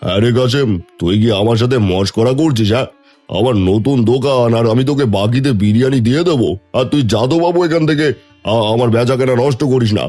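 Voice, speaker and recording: A man voices a stingy cartoon shopkeeper with a Bengali accent. He talks fast in a dark, mid-pitched voice, dismissive and mocking, with music beneath. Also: natural voice. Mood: scornful. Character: stingy, scornful village biryani shopkeeper. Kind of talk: Bengali fairy tale cartoon dubbing. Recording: music under the voice.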